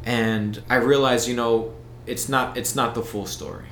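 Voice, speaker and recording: A man's voice: medium pitch, steady tone, unhurried, fairly bright tone, a little hiss or hum. Easygoing American man, echoey room. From United States. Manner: rambling, many restarts and fillers. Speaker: event organizer, guest. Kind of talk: casual interview talk.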